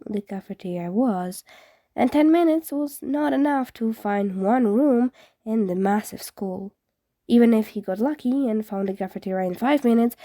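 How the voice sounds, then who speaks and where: A woman's voice: a little high, mellow, dry recording. A woman reading a story aloud as an amateur audiobook narrator, occasionally stumbling and correcting herself. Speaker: fan fiction reader.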